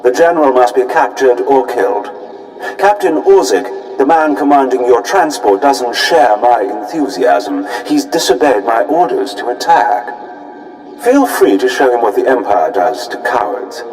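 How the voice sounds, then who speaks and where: An actor playing a smug, menacing imperial fleet commander in a video game, pompous and self-satisfied. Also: male voice, mid-range voice, expressive, a little slow, darkish tone, rough recording. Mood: menacing, commanding. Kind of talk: video game character acting.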